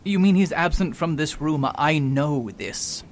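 A man's voice: expressive, a little slow. A man playing a pompous, overconfident learned doctor in a radio mystery, incredulous and easily baffled. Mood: puzzled. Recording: faint rumbling background noise.

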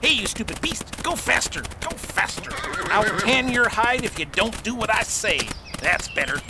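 An actor playing a brash, bullying outlaw ringleader in a western radio drama, with a bossy, folksy cowboy way of talking. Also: male voice, sits high, sing-song, moderate pace, background noise.

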